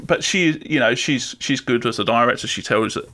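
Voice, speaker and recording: A man's voice: medium pitch, fairly quick, sharp tone. A man speaking as a guest musician in casual chat, rambling and informal in a colloquial way.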